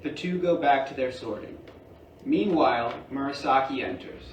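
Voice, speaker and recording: A man narrates stage directions from a script in a mid-pitched voice. He sounds a little far from the mic in a very roomy space, with clear noise behind him. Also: moderate pace.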